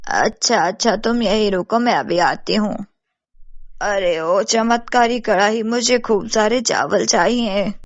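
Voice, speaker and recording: A woman's voice: medium pitch, slow pace, dry recording, dull audio. A woman voicing a kindly, simple elderly village mother in a storybook tale, with motherly exclamations. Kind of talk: storybook character acting.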